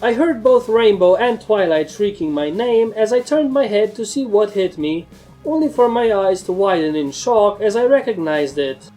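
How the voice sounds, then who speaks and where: A woman's voice: medium pitch, lively intonation, normal speed, mellow, soft background music, low-quality recording. A woman narrator reading a scripted first-person fantasy story.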